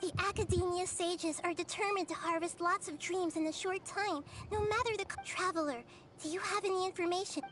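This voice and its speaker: A woman playing a gentle, wise, childlike girl deity in an anime video game, small-voiced, measured and articulate. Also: extremely high pitch. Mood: wondering. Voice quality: small, girlish. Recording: game stream with background noise.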